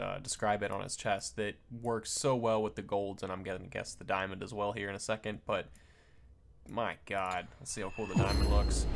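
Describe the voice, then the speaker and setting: A man's voice: on the low side, gravelly. A man giving casual, off-the-cuff game commentary in an informal way, thinking aloud as he goes. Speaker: gaming content creator giving a first look.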